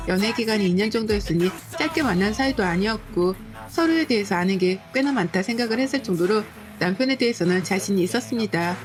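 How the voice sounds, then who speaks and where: A woman narrating a listener's story in the first person, in a smooth, even read-aloud delivery. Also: mid-range voice. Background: soft background music.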